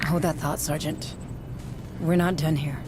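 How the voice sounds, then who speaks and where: A woman's voice: sits low, fairly even intonation, unhurried, noisy background. An actress playing a firm, direct woman administrator in command in a video game cutscene. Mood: stern, impatient. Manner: direct, authoritative. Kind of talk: cinematic video game acting.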